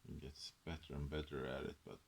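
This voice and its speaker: Man, Swedish native, very low gravelly voice, slow, hesitant, whispery, faint hiss. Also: little pitch movement. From Sweden. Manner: rambling, hesitant, many fillers; drifts into whispers. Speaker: ASMR host. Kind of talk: soft-spoken ASMR book chat.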